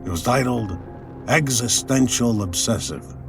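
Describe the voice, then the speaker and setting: A man's voice: medium pitch, measured pace, gravelly, music in the background. An actor playing a wry private detective narrating his case in an audio drama, dry and colloquial. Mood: reflective, dry. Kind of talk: audio drama acting and first-person narration.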